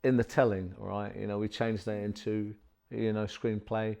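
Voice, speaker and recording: A man's voice: lowish voice, little pitch movement, normal speed, creaky, dark tone. Effusive rambling male podcast host. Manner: effusive, rambling, many fillers. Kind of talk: interview. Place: studio.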